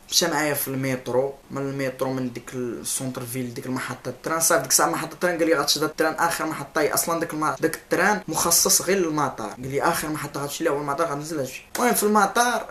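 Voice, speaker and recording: A man's voice: on the high side, slight background noise. A young man in his twenties with a Moroccan Arabic accent, telling a story in a casual vlog and gesturing the whole time. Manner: animated, gestures constantly, laughs while telling. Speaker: vlogger. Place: indoor room, seated on a couch. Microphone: camera mic, close framing.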